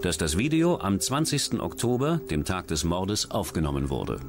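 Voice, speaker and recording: A man's voice: low-pitched, big pitch swings, moderate pace, over music. A man reading scripted true-crime narration as a documentary narrator.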